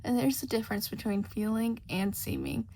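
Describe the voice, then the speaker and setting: A woman's voice: on the high side, steady tone, moderate pace, vocal fry, dark tone, a little hiss or hum. A woman reading scripted fiction as a story narrator. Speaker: podfic narrator.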